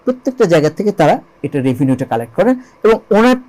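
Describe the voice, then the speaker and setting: A man's voice: sits high, big pitch swings, quick pace, a little mellow. A man with a Bangladeshi accent speaking as an interview guest, telling his experiences earnestly with emphatic repetition, rhetorical questions and English loanwords. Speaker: interview guest, songwriter and composer.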